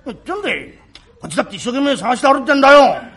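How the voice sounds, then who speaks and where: A man voicing a hapless, henpecked working-class husband in comic Japanese storytelling, complaining in rough, flustered colloquial speech. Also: extremely high pitch, very animated, rapid-fire, fairly bright tone, heavily acted. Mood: indignant, grumbling. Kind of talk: rakugo comic storytelling dialogue.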